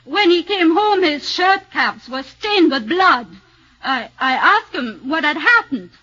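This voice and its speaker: A cool, calculating Austrian woman on the witness stand, speaking in a very high, bright voice with a measured, controlled delivery. The sound is dull and lo-fi, like an old radio broadcast. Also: expressive, exaggerated voice. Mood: dramatic. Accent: Austrian German. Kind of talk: 1940s radio acting.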